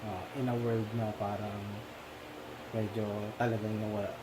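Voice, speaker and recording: A man with a Filipino accent leading a casual film discussion, often pausing to search for words. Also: on the low side, little pitch movement. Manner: halting, searching for words, mixes in Tagalog fillers. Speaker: discussion leader. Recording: noticeable background noise.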